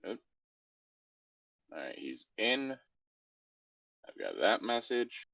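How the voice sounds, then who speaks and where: A man's voice: lowish voice, wildly swooping pitch, takes it slowly, vocal fry, fairly bright tone, no echo. A man hosting a comedic read-along in a casual, bantering style. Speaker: host of a comedic reading show.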